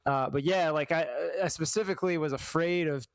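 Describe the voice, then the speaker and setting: A man talking casually as an interview guest, halting and thoughtful as he explains things. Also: fairly high pitch, smooth, no room sound, studio quality. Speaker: guest, fan translator.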